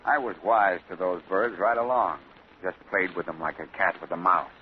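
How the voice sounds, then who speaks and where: Casting: a man playing a pompous police chief, lowish voice, on a tinny 1940s radio recording. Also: fairly even intonation, normal speed, natural voice. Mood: boastful. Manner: pompous, self-satisfied. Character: boastful male police commissioner, middle-aged. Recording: scratchy 1940s radio broadcast.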